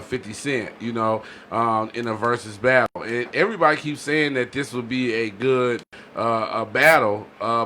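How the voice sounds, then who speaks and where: A bright, cutting male voice with mid-range pitch hosts a casual podcast. He talks in slang, states his opinions firmly and often checks in with "you know". There is faint noise behind him. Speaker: podcast host.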